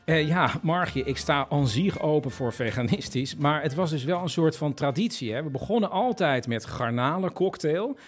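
A man's voice: on the high side, animated, moderate pace, few pauses, natural voice. A man narrating and acting in a comic audio play before a theatre audience. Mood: earnest. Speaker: narrator and actor. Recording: music behind, live theatre stage.

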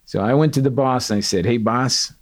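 A middle-aged man from Portugal, a retired sergeant major, telling candid stories in an interview with an earnest, animated manner. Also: mid-range voice, a little fast. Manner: earnest, animated, quotes others in dialogue. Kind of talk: candid storytelling.

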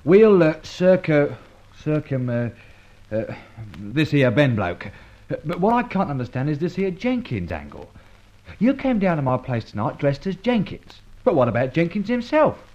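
A slow sidekick, working class, trips on long words, bouncy highish voice, old radio serial. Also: male voice, big pitch swings, a little mellow. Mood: puzzled. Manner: colloquial, fumbles long words. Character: slow-witted, loyal working-class British sidekick, easily baffled. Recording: dull, lo-fi old radio recording.

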